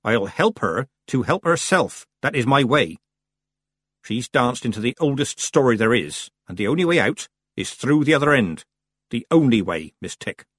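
A man's voice: medium pitch, sing-song, moderate pace, a bit bright, breathy edge, no room sound. A man voicing a stern, blunt old country witch with firm, rustic speech in an audiobook. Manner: plain rustic grammar, firm.

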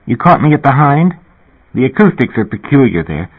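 A man reading an audiobook and voicing a shy, breathless young woman singer who talks in a rushing, excited way. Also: mid-range voice, husky, pitched above the performer's own voice. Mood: eager, breathless. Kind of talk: audiobook character voice. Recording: tinny phone-like audio.

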